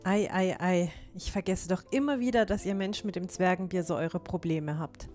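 A woman's voice: fairly low pitch, moderate pace, darkish tone, soft background music, natural voice. A woman voicing a cheeky, motherly young dwarf in an audio drama, teasing like a friendly know-it-all.

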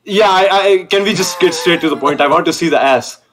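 Give me a high-pitched young man talking loud and cocky over a headset on a video call. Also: a little fast, slight background noise. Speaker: online date contestant. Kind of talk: casual video call banter. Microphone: gaming headset on video call.

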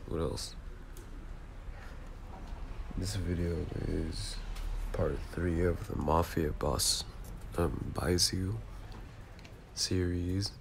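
A man's voice: deep voice, vocal fry, background noise. A man with a nasal, congested voice from being sick, rambling casually and offhandedly as a channel creator. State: sick with a cold.

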